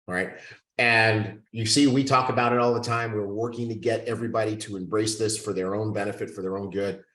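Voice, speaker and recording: A man's voice: lowish voice, a bit bright, echoey room, rough recording. A man hosting a business call, bantering playfully with the guests before handing over to them. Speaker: host.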